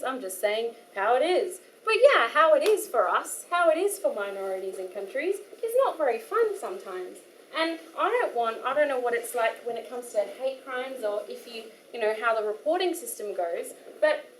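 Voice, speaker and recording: A young Australian woman with an Australian accent giving an informal workshop talk to a live audience, chatty and animated, full of asides and fillers. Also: high voice. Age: young adult. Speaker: workshop speaker. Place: community hall. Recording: echoey hall with faint noise.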